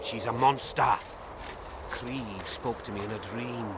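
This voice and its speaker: An actor playing a superstitious, fearful zealot advisor in a video game, pious and ominous in manner. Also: male voice, medium pitch, unhurried, background noise, lightly acted. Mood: ominous dread. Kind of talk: dramatic video game acting.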